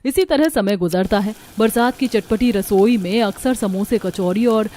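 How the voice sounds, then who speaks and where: A woman's voice: mid-range voice, normal speed, dark tone, slight background noise. A woman storyteller reading scripted narration for a family cartoon.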